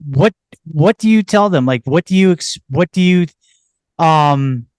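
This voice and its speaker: A man hosting a podcast interview, giving short affirming replies and asking halting, searching questions. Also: a little high, clean tone, no echo. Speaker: podcast host. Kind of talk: casual interview.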